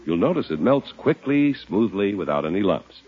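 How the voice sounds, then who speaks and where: A man's voice: fairly low pitch, very animated, normal speed. A man announcing in the style of a 1950s radio advertisement. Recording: muffled vintage 1950s radio recording.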